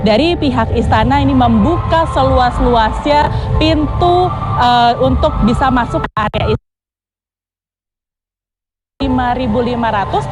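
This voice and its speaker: A woman giving a formal live field report for television news, with hesitant filler phrases. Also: a little high. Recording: loud music behind the voice.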